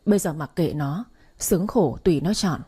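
Một người phụ nữ đọc lời một ông bố lớn tuổi, nói giọng nghiêm khắc, dứt khoát. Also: female voice, low-pitched, animated, moderate pace, dark tone, slightly rough, full character voice. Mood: stern. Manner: stern, clipped. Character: stern older father, weary and firm.

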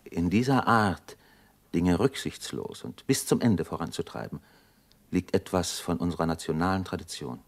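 An actor playing a thoughtful doctor in a German radio drama, speaking with reflective intensity and awe. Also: male voice, low voice, normal speed. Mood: awed, fervent. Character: thoughtful doctor, awed by revolutionary upheaval.

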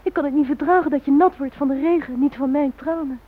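An actress in a radio drama playing a grieving young woman who speaks haltingly and in anguish to her dead fiancé. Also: sits high, natural voice. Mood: grieving, tender. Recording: dull, lo-fi old radio recording.

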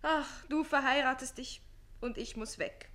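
An actress plays a lovesick adolescent page boy in a radio comedy. The voice is bright and fairly high, with impetuous, gushing theatrical delivery full of sighing emotion. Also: unhurried. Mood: distraught.

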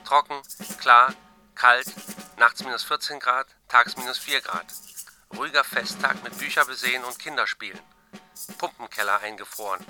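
An actor playing a terse diarist who reads entries in clipped, telegraphic bursts in a radio play. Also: male voice, mid-range voice, normal speed, pushed and bright. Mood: brisk, clipped. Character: terse male diarist noting weather and chores. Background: percussive music.

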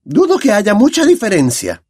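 A dry, impatient schoolmistress, put on by a male narrator, clipped and cold. Her voice sits high with a lively rise and fall, in a dry, close recording. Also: moderate pace, no echo, heavily acted. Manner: curt, cold, sarcastic.

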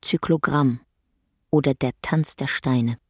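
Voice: medium pitch, fairly even intonation, takes it slowly, slightly rough, no echo, studio quality. A narrator reading the stage directions of a German radio play in a terse, matter-of-fact way.

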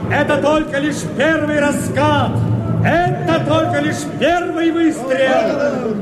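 An actor playing a fiery, defiant rebel Cossack, declaiming verse in a strained, ringing, oratorical voice for a radio drama. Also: male voice, very high voice, fairly even intonation, low-quality recording. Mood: triumphant, menacing. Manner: impassioned, oratorical, drawn-out. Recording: crowd clamour and music behind voice. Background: shouting crowd, music.

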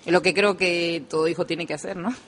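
Calm Peruvian woman radio guest. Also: lowish voice, a little fast. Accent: Peruvian Spanish. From Peru. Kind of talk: radio interview. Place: local radio studio.

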